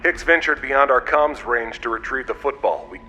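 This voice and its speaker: A man's voice: mid-range voice, normal speed, bright, forceful tone, highs cut off, faint music behind. A man playing a dutiful astronaut in an audio drama, reporting in a businesslike way over the radio. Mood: worried, urgent. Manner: businesslike, crisp. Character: dutiful male Apollo astronaut reporting to base.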